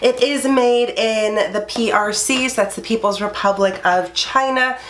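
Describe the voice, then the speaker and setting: Girl doing a makeup review in her room, stuffy nasal voice from a cold, super chatty and excited. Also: fairly high pitch, measured pace. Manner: enthusiastic, chatty. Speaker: beauty YouTuber. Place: her bedroom under filming lights.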